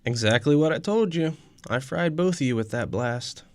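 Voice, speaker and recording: A man's voice: a little high, lively intonation, moderate pace, a little mellow, dry recording. A man playing a matter-of-fact, loyal non-human ally in a radio drama.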